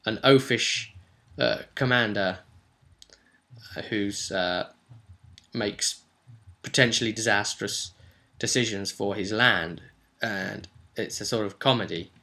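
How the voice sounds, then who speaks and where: Bright, forceful man telling a story. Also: lowish voice. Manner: chatty, halting, acts out short bits of dialogue. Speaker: game master recapping his tabletop role-playing session. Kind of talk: casual, rambling storytelling.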